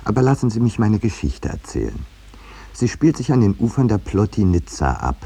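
Radio play lead: urbane middle-aged painter-narrator, low register, wry, self-important, measured delivery. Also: male voice, big pitch swings, creaky, mellow, husky, heavily acted. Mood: dry irony. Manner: measured, ironic, slightly pompous. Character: landscape painter Eduard Merlin, urbane wry storyteller, looking back.

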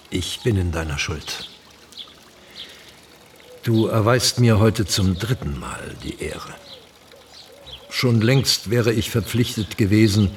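An actor playing a frail, courteous old Turkish gentleman in a radio play, speaking formally and with weight, a pious and grave sage. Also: low voice, distant mic, a little hiss or hum. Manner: slow, deliberate, formal, weighty.

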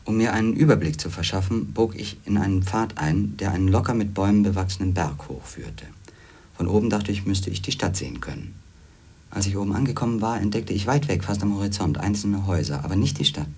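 A brooding former editor in a radio play, with a very low, husky voice. He recounts his wanderings in measured literary sentences, introspective and inward. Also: warm tone. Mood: pensive. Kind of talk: literary first-person radio play narration.

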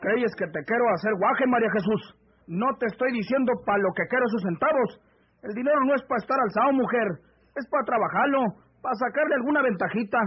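An actor playing a scheming, greedy husband from the countryside, with a rural northern Mexican accent and an insistent, rustic way of talking, in an old radio serial. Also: male voice, very high voice, fairly quick, slightly rough. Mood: pushy, aggrieved. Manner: rustic, insistent, pressing speech. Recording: dull vintage AM radio drama recording.

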